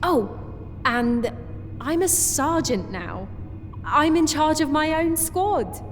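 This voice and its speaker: A woman voicing a cheerful female soldier, newly made sergeant, speaking in a slow, emphatic way. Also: high-pitched, animated, in a large hall, soft background music, voice pushed up. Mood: happy.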